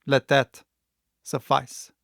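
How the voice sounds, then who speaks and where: A man voicing a calm, stern gentleman doctor and magistrate in an audiobook, speaking clearly and with composed authority. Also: takes it slowly, no room sound, studio quality. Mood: calm stern warning. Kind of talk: audiobook character voice.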